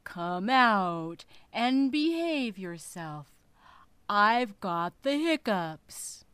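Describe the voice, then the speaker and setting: Storybook whale, female reader: huge, hungry, dim and grumbly, words stretched out slow and loud. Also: very animated, smooth, no room sound, heavily acted. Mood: unhappy, complaining. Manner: slow, drawn-out, booming delivery. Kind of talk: children's storybook character voice.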